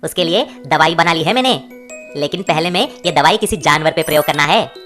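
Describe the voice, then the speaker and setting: A woman voicing an eccentric male village scientist who talks in an excited, gleeful way. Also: low voice, brisk. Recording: music bed behind.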